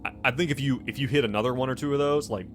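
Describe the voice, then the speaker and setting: A man of average pitch runs a tabletop roleplaying game. He narrates and explains rulings in a casual, conversational way, with clear music underneath. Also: very fast. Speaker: game master.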